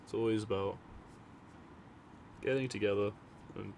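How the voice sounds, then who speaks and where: Man from Europe, creaky fry, casual unscripted ASMR musing, city traffic ambience clearly behind him. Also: fairly low pitch, fairly even intonation, moderate pace, a little mellow. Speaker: audio roleplay creator talking to listeners. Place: by an open window at a desk. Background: city ambience, vehicles, sirens.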